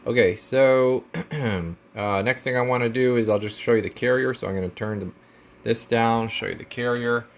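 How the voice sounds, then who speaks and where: A man's voice: medium pitch, fairly even intonation, moderate pace. A man casually demonstrating a product as a technician, explaining step by step in informal language with trade jargon. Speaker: radio technician presenting a radio for sale.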